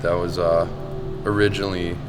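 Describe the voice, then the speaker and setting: Male artist, low and even, reflective, reverberant hall, music underneath. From Finland and Canada. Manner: measured, thoughtful, with pauses and restarts. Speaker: painter. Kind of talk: reflective interview monologue. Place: large art gallery. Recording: long reverb with music behind.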